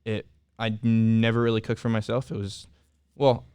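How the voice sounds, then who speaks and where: A young man in casual interview talk, candidly telling his own story with halting restarts and fillers. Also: fairly low pitch, little pitch movement, dark tone, smooth, no room sound. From Southern California. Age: young adult. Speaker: returned service missionary guest.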